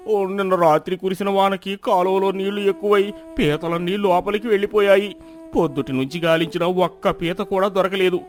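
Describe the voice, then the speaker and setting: Man acting an apologetic high-voiced head fisherman. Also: a little fast, lightly acted. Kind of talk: Telugu moral story cartoon dialogue.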